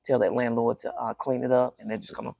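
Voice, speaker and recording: A woman's deep, raspy voice with a gravelly crackle, recorded close and dry. She is middle-aged and speaks candidly and expressively, like a guest sharing her own story in a relaxed interview. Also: fast, no echo.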